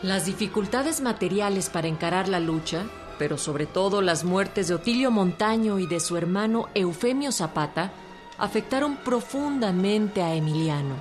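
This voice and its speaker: A woman's voice: mid-range voice, animated, moderate pace, faint music behind. A woman with a Mexican accent reading scripted narration for a radio production.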